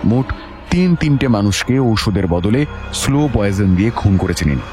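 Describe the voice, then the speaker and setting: Man playing a booming, animated, commanding detective. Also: normal speed. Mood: triumphant, explaining. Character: commanding, shrewd male detective confronting a culprit. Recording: music underneath.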